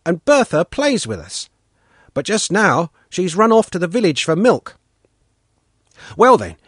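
A man's voice: high voice, dry recording, clean studio sound, voice pushed up, heavily acted. A man voicing a stubborn, cocky village boy who tells his story doggedly in a raised voice.

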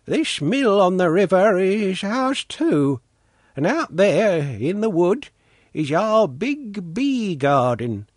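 Man voicing a leisurely, high-pitched old watchman. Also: dry recording, clean studio sound, exaggerated voice. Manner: leisurely, teasing. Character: mocking old village watchman, bushy-browed, gruff-tongued but kindly. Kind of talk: storybook character reading.

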